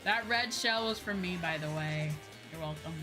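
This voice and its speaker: Music plays clearly behind a woman with a fairly low-set voice. She talks like a livestreamer in the middle of a game, casual and informal. Her speech rambles into asides and is peppered with swearing. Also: moderate pace. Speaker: livestreamer, VTuber host. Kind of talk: casual chatty gaming stream talk.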